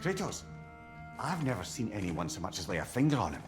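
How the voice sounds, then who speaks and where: A man's voice: lowish voice, very animated, a little fast. A man playing a shrewd older advisor in a video game, with a Scottish accent and a wry, colourful manner. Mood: grave warning. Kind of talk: cinematic video game acting. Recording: loud music behind the voice.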